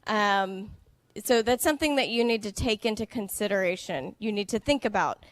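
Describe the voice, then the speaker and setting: A woman giving a slow, patient presentation to students, checking they understand as she goes. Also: mid-range voice, no echo. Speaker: international student services director. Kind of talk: slow, simple presentation for English learners.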